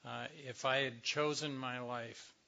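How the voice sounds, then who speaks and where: Slow hoarse older man speaking. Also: mid-range voice, steady tone, sharp tone, lo-fi sound. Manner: slow, reflective, with many pauses and ums. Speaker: speaker at a recovery workshop. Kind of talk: personal talk to a meeting.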